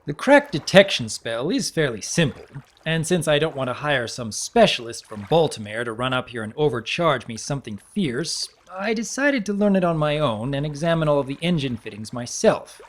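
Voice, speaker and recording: A man acts out a bookish pony baron in a dramatic story reading. The voice sits on the high side and swings playfully, with mock-formal wordiness and a kindly, teasing temper. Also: very animated, normal speed, few pauses. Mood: wry, playful.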